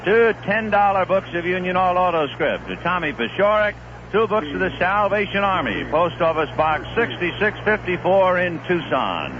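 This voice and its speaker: A man calling baseball on the radio in the 1970s, his fairly high voice swinging widely as he tells stories between pitches and climbs on the big plays. The sound is muffled old radio with a clear hiss underneath. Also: moderate pace. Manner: relaxed storytelling delivery, rising sharply on big plays. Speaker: play-by-play announcer. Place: broadcast booth at a stadium.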